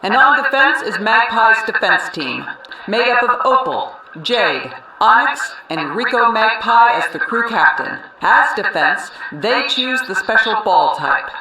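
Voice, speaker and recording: Authoritative cartoon police commissioner, very forceful and formal, echoing out from a distance. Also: male voice, sits high, very animated, a little slow, exaggerated voice. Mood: official, solemn. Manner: formal, declamatory. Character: authoritative police commissioner making a public announcement. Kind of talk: official proclamation. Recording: echoey public address, distant, faint noise.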